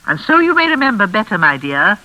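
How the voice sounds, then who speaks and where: Casting: unhinged older lady of the manor, honeyed menace, swooping delivery, sharp bright tone, crackly old radio drama. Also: mid-range voice, very animated. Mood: veiled menace. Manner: theatrical, honeyed and insistent, with deliberate repetition. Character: menacing, unhinged older mistress of a crumbling hall. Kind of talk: classic radio suspense acting.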